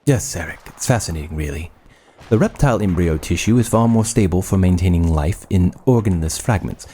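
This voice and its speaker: Very low dark male voice, actor as obsessive mad surgeon, clinical, measured, horror audio drama. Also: expressive. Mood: coolly absorbed. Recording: faint background ambience noise.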